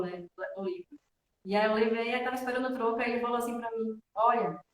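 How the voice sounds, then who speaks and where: A woman with a northeastern Brazilian accent, a guest telling personal stories casually and conversationally, often checking for agreement. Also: fairly high pitch. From Salvador, northeastern Brazil. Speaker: interview guest, devotional student. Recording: roomy echo, distant from microphone.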